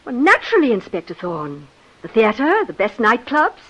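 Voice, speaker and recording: A woman's voice: a little high, wildly swooping pitch, moderate pace, fairly bright tone, husky, muffled recording, full character voice. An actress playing a proud, wealthy woman in love who is indignant, in 1950s radio drama style. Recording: old-time radio broadcast recording.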